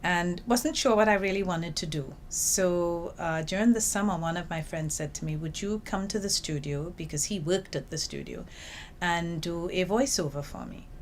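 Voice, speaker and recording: An older woman from Trinidad and Tobago with a Trinidadian accent, telling her story in a lively way in an interview, seated in a small office. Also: on the low side, moderate pace, a little hiss or hum. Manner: lively storytelling, acts out quoted remarks. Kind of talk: reflective interview answers.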